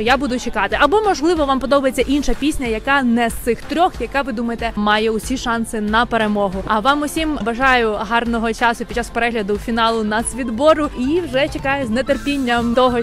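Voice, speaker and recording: A woman with a Ukrainian accent and a fairly high voice chats with strangers on a city street. Her questions are upbeat and friendly. Music plays clearly under her voice. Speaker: vlogger and street interviewer. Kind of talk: casual street interviews and video intro. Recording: outdoor street recording with music over it.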